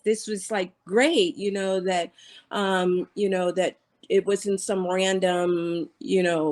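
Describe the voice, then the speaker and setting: A woman in her fifties, a guest in an interview, telling her own story in an earnest, halting way with many pauses and fillers. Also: mid-range voice. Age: 50s.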